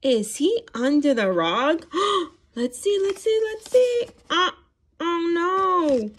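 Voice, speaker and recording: A woman reading a picture book aloud to young children in an animated, expressive way, with gasps, questions and encouraging praise. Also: very high voice, takes it slowly. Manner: animated, expressive, sing-song. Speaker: children's read-aloud storyteller. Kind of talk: interactive picture-book read-aloud for toddlers.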